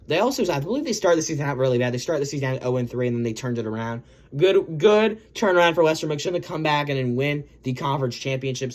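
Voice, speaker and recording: Dude doing a football recap, bit hoarse from yelling, keeps saying guys and um, rambly. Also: male voice, mid-range voice. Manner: rambling, self-correcting, lots of "guys" and "um". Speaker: sports video host. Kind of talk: casual sports recap commentary.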